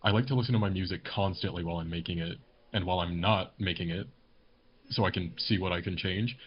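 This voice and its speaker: A man with a very deep voice chats casually as a podcast co-host. He speaks in a modest, self-critical way. The recording is dull, with faint noise behind him. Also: fast talker. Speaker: podcast co-host, amateur music composer.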